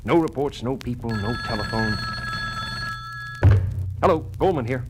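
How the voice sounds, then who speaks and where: An actor playing a harried, indignant government security chief in an audio adventure, blunt and exasperated in manner. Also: male voice, mid-range voice, lively intonation, a little slow, background noise, close to an everyday voice. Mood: exasperated, weary. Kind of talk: 1970s audio adventure acting.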